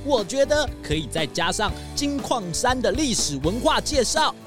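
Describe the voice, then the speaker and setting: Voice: mid-range voice, very animated, moderate pace, music in the background, close to an everyday voice. An actor playing a keen, sincere pangolin in a children's audio drama. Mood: earnest. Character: keen, sincere pangolin tourist who loves nature.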